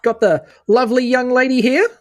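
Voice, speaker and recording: A woman's voice: high voice, steady tone, fairly quick, soft background music, natural voice. A woman playing a breezy, flirty male flying-machine captain who banters in a jaunty, folksy way in a tabletop game. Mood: jovial.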